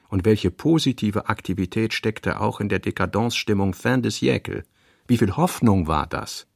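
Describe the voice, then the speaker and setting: Low, gravelly male literary narrator. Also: no echo, clean studio sound. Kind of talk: literary radio play reading of a novelist's notes and narration.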